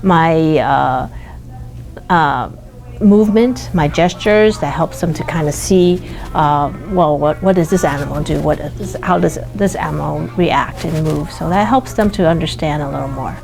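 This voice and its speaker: Woman, classroom teacher interviewee. Low pitch, explanatory delivery that often pauses to find the next word, faint music. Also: creaky. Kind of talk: interview answers about teaching practice.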